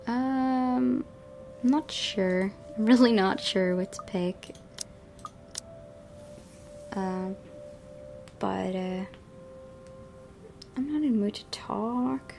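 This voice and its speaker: A woman's voice: medium pitch, extremely slow, gravelly, long pauses, darkish tone, slight background noise. A woman hosting a gaming video, reading visual novel narration expressively and adding casual asides.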